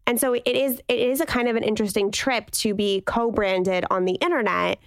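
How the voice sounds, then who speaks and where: A woman's voice: fairly high pitch, steady tone, fairly quick, smooth, dry recording, clean studio sound. A young woman on a podcast chatting casually with friends, candid and reflective, talking in long trailing runs. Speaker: podcast co-host guest. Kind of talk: casual chat between friends.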